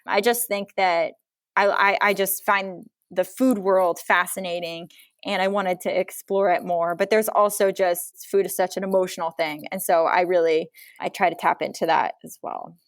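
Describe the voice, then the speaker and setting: Smooth-voiced woman, dry close sound. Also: lowish voice, steady tone, moderate pace, dry recording. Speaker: guest novelist. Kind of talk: casual interview conversation.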